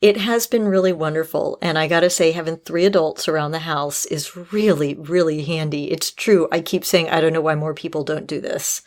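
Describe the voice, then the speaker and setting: A woman's voice: low-pitched, fairly even intonation, moderate pace, hardly any pauses. A woman hosting a podcast in a conversational style, giving advice and talking to listeners. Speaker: podcast host.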